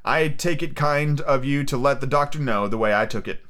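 A man's voice: medium pitch, moderate pace, a bit bright, lightly acted. A man voicing a bold, defiant boy hero in a pirate adventure story, earnest and declaiming. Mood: brave.

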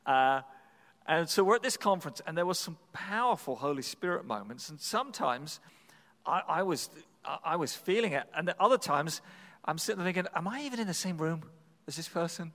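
A man's voice: a little high, lively intonation, brisk, bright, forceful tone, big-room sound. A man preaching, telling a personal story in a conversational, self-deprecating way with frequent restarts. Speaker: pastor. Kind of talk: sermon storytelling.

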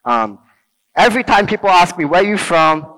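A man's voice: sits high, sing-song, a little fast, a bit bright, poor audio. A middle-aged man from Brazil with a Brazilian accent, giving an informal teaching talk to a group and checking in with them often. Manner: conversational, checks in with listeners often. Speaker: speaker at a church talk.

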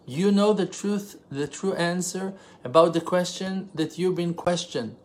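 Israeli man giving an earnest religious lesson. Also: sits high. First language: Hebrew. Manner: earnest, emphatic, rhetorical questions; halting, pauses and self-corrections while translating. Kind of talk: spontaneous religious teaching.

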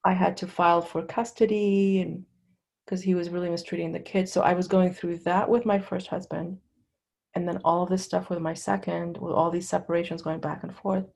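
A middle-aged woman from Lebanon giving candid personal testimony as an interview guest, in a halting, conversational way. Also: lowish voice, flat delivery, creaky, darkish tone.

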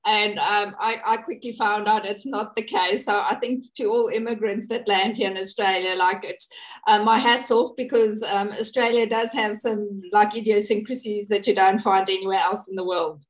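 A woman's voice: medium pitch, fairly even intonation, normal speed, rough recording. A middle-aged woman with a South African accent, a native Afrikaans speaker, interviewing a guest with direct, encouraging questions. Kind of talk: conversational interview.

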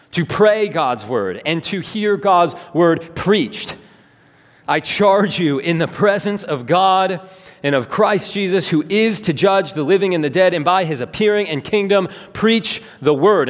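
A man preaching a sermon in an earnest, emphatic way, with rhetorical questions and repetition. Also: fairly high pitch. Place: large hall, preaching to a congregation. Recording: reverberant hall.

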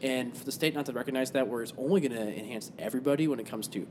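A man's voice: mid-range voice, very fast, background noise. Rambling, easy-laughing podcast host man. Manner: loose, rambling, laughs easily. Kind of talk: casual chat.

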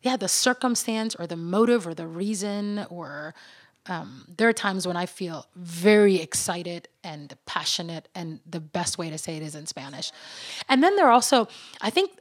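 Chatty woman, laughs easily. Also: fairly low pitch. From born in the Netherlands, raised in Suriname and Venezuela. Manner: animated, laughs often, trails off and restarts. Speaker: podcast guest. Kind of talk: casual chat.

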